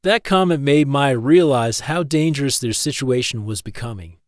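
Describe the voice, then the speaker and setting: A man narrates fiction in a scripted audiobook style. His voice sits at a medium pitch. The sound is dry, with no room around it. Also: lively intonation, normal speed, no echo. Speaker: story narrator.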